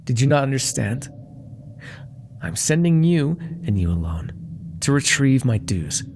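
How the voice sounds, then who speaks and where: A man's voice: mid-range voice, sing-song, measured pace, noisy background. A man voicing a vain, menacing demon crime lord in an ASMR audio roleplay, silky, theatrical and condescending, with cool threats under mock affection. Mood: haughty, irritated.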